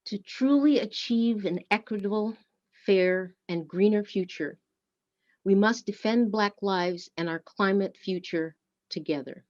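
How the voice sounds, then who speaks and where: A woman reading a prepared script aloud as a service leader, with deliberate, measured delivery. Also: mid-range voice, darkish tone, faint music behind. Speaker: worship service co-leader and reader. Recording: dull, lo-fi muffled sound.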